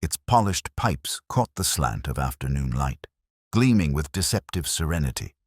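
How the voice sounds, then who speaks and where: An extremely deep male voice reads mystery fiction as a measured narrator. The delivery moves widely in pitch, with a slightly husky grain and a very dry, close sound. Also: very animated, no room sound. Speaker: audiobook narrator. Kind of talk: scripted literary mystery narration.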